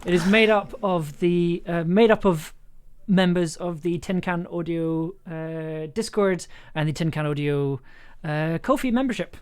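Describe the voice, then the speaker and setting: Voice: mid-range voice, measured pace, a little mellow, smooth. An enthusiastic audio producer chatting casually and effusively on a livestream while editing. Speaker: audio drama producer and streamer.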